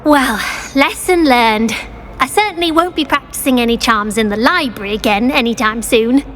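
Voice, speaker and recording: A high-pitched, courteous British schoolgirl voiced by a woman, studious and earnest as a young video game witch. Her speech is well-spoken, with a little background noise. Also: normal speed, a bit bright, natural voice. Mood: relieved. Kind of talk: video game cutscene acting. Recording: faint background ambient noise.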